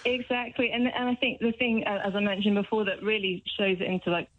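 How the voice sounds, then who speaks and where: A British woman calling a radio show, explaining her view in a measured, conversational way. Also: mid-range voice, steady tone, no echo. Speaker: phone-in caller, vegan activist. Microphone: phone. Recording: thin, narrow telephone line.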